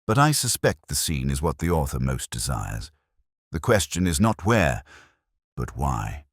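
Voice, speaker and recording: Man voicing a very deep, mild police inspector. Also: big pitch swings, breathy edge, no echo, clean studio sound, full character voice. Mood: calm, shrewd. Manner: murmured, mild, deliberate. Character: male police inspector, mild, calm and shrewd.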